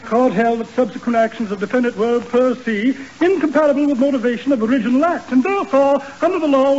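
An actor playing a pompous lawyer who spouts legal jargon, in a 1940s radio comedy. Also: male voice, extremely high pitch, darkish tone. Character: pompous fast-talking small-town lawyer. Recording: muffled 1940s radio broadcast.

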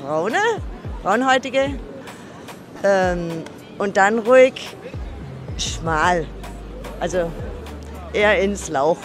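A woman's voice: mid-range voice, expressive, very slow, long pauses. A woman in her fifties from Germany answering a street interview in a frank, matter-of-fact way. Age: 50s. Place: shopping street at night, outdoors. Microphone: handheld mic held by interviewer. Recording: street with music and crowd.

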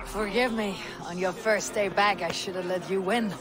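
An actor playing a steady young Viking warrior in a video game cutscene, dry and wry. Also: male voice, high-pitched, normal speed, over a noisy crowd, lightly acted. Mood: teasing.

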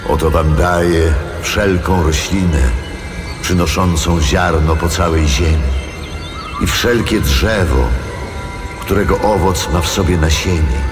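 An actor playing a solemn, commanding God, proclaiming deliberately in an audio Bible drama. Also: male voice, low-pitched. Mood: majestic, blessing. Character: God the Creator, solemn, majestic, commanding male voice. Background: loud music.

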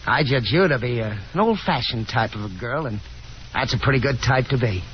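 An actor playing an affable young American gentleman caller, easygoing and polite with chuckly hesitance, in a 1950s radio drama. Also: mid-range voice, animated, brisk, natural voice. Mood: friendly, coaxing. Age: young adult. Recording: muffled, noisy 1950s radio recording.

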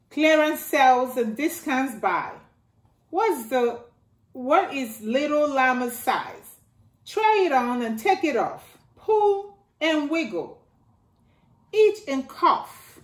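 A middle-aged woman reading a rhyming picture book aloud to children in a slow sing-song way, filmed indoors at home by a camera a few feet away. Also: high-pitched. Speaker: storytime reader. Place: living room by a staircase, indoors. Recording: echoey room.

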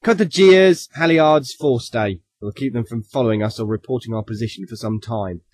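An actor playing a stern young naval captain in an audio drama, formal, clipped and commanding. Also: male voice, medium pitch, fairly quick, a little mellow, slightly rough, no room sound, lightly acted.

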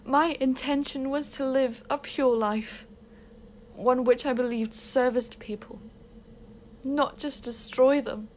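Solemn, repentant female cultist, played by an actress, slow, slightly noisy ambience, audio drama. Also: high-pitched, steady tone, a little mellow. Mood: remorseful. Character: remorseful, solemn member of a deadly sisterhood.

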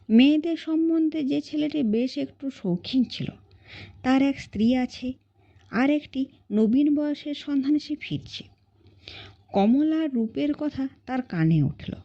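A woman's voice: high voice, highs cut off. A woman narrating a classic short story as an audiobook reader, in scripted storytelling style.

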